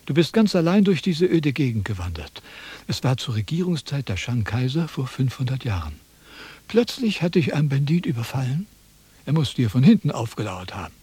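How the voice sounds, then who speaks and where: An actor playing a pompous, self-important ancient Chinese sage in a radio drama, lecturing in a condescending, impatient way. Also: male voice, mid-range voice, mellow, breathy edge, no echo. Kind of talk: theatrical radio drama dialogue.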